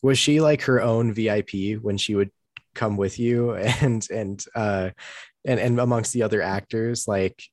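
A man's voice: on the low side, unhurried, no room sound, clean studio sound. A man hosting a podcast, asking questions in a casual, conversational interview style.

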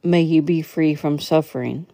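A woman with a low, soft-edged mellow voice guides a meditation. She reads in a measured, soothing way, now and then tripping on a phrase. The sound is close and dry, with very little room around her. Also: steady tone, no echo. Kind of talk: guided meditation, slow instructional reading.

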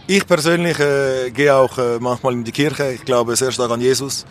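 A man's voice: medium pitch, fairly even intonation, moderate pace. A young man speaking spontaneously and earnestly in a street interview, giving his opinions insistently. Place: outdoors on an urban street. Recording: faint urban street and traffic noise.

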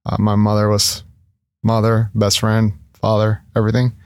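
Guy from ecuador, earnest, halting, lots of ums, low flat voice. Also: clean tone, no echo, clean studio sound. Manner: earnest, halting with many restarts and fillers. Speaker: guest, entrepreneur. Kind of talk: casual interview.

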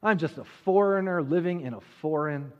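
A man preaching a sermon, telling a Bible story conversationally with dramatic pauses and rhetorical questions. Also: a little high. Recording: muffled audio with long hall reverb.

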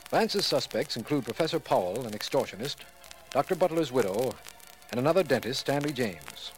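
A man's voice: medium pitch, animated, brisk, natural voice, poor audio. A man plays a formal district attorney from an old radio drama. His mid-range voice is courteous and businesslike, with faint music around it. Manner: formal, crisp narration and dialogue. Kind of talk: 1940s radio acting.

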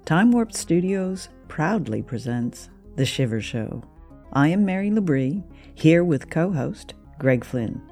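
A woman's voice: sits low, animated, slow pace, mellow, soft background music. A woman hosting a show, reading a scripted introduction. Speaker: podcast host.

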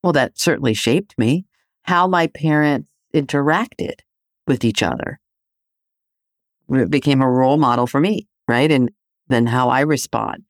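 Solo podcast host raised in Greece, reflective and needling, middle pitch, dry close sound. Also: no echo. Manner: provocative, poses rhetorical questions; conversational with frequent "you know, right?".

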